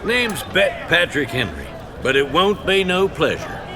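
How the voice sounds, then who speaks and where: Cantankerous folksy heckler, excitable swooping voice. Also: male voice, on the high side, unhurried. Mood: gruff. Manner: combative, folksy. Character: cantankerous, suspicious small-town married man, folksy heckler. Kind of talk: audio drama acting. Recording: loud music and crowd behind.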